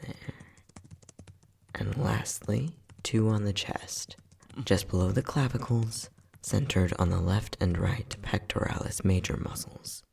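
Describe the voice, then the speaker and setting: A man acting as an unhinged, playful and casually cruel scientist in an ASMR roleplay, flippant and sardonic, with a husky voice that often drops near a whisper. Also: lowish voice, a little slow, darkish tone, a little hiss or hum. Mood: clinical, teasing. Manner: flippant, sardonic, mock-soothing, muttering.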